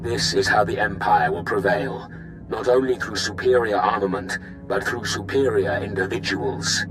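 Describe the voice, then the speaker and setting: A man voices a haughty, menacing dark commander in a video game. His very low voice is measured and grandiose, set over music. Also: expressive, natural voice, poor audio. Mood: grandly triumphant.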